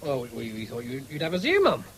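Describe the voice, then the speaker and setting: An actor playing an eager, boastful young farmer's son, cajoling and cocky, in a radio drama. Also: male voice, medium pitch, huge pitch swings, fast talker, fairly bright tone. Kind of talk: 1970s British radio drama acting. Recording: noisy vintage radio broadcast.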